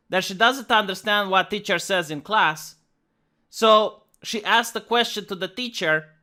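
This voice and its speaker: A man with a Romanian accent talks straight to his audience in an earnest, emphatic commentary. His voice sits high and sounds bright and forceful. He often restarts words and leans on "like" as he pushes his point. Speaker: online commentator. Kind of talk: commentary video monologue.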